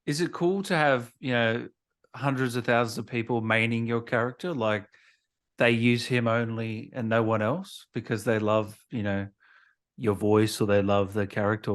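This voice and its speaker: A man hosting a casual interview in an informal, chummy way, quick to agree with his guest. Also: lowish voice, steady tone. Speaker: host, interviewer.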